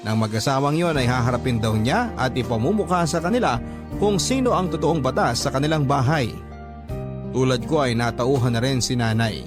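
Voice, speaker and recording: A man reading a listener's letter as a radio drama host, in measured, sentimental storytelling. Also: medium pitch. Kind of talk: dramatic first-person letter narration. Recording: music bed under narration. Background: clear background music.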